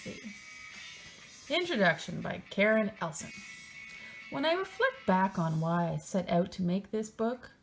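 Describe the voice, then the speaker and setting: A woman's voice: medium pitch, vocal fry. A woman reading a book aloud in a theatrical, mocking way, breaking into casual, sardonic asides of her own.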